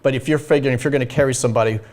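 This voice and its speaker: A man's voice: medium pitch, fairly even intonation, very fast, darkish tone. A man working as a team insider, chatting casually and thinking aloud with plenty of hedges. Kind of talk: casual analytical chat.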